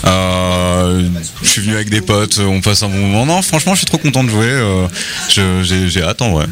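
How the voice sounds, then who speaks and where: A man's voice: deep voice, normal speed, crowd noise. A young man being interviewed as a musician, talking casually with many fillers and restarts. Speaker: musician guest.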